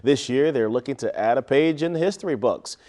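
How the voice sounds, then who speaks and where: A man reading a scripted sports newscast with highlight commentary. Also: lively intonation, a little fast, darkish tone, a little hiss or hum.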